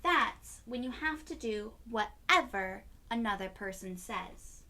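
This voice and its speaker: Woman reading as curious little girl, high-pitched. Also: expressive, slow, pushed and bright, slight background noise, full character voice. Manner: questioning, simple childlike phrasing. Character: curious, earnest young girl asking questions. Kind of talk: children's storybook read-aloud.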